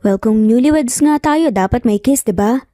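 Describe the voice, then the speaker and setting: A teasing, tender young father in love voiced by a woman in a romance storybook. The delivery is sincere and very mellow, with faint music behind. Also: on the high side, normal speed, no echo, studio quality, natural voice. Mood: playful.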